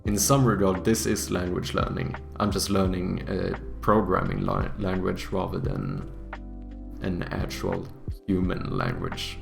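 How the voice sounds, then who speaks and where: A young man streaming, with a low voice full of gravelly fry. He chats with viewers in a loose, joking way while he reads code notes aloud and gets worked up easily. Faint music plays behind his desk mic. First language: Swedish. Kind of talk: casual livestream chat while coding.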